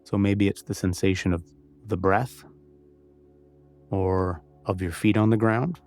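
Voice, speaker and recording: A man leading a guided meditation, giving gentle, conversational instructions with pauses. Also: fairly low pitch, fairly even intonation, soft background music.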